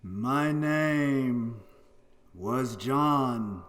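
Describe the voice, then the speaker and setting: Sham ghost played by a man for radio play: extremely slow, eerie, mid-pitched, drawn-out summons. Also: a bit bright, a little hiss or hum. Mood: eerie, beckoning. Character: sham seance ghost, a male accomplice putting on spirit voice.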